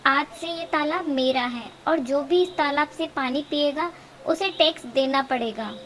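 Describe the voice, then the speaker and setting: A woman's voice: high-pitched, measured pace, a bit bright, voice pushed up. A woman voicing a bossy, greedy female fox in a children's cartoon story, speaking in a commanding, insistent way. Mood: bossy, demanding.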